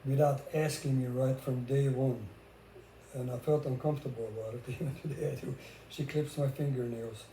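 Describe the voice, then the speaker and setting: Older Hungarian-accented man, very dark mellow voice. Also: mid-range voice, fairly even intonation, moderate pace. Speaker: vlogger sharing relationship experiences. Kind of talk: casual conversational talk. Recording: roomy echo with faint background noise.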